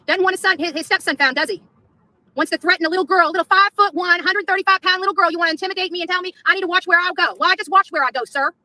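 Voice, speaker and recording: A woman's voice: very high-pitched, rapid-fire, sharp tone, no room sound. A woman on a confrontational live rant, taunting and repeating her phrases, with swearing. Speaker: activist streamer in a played clip.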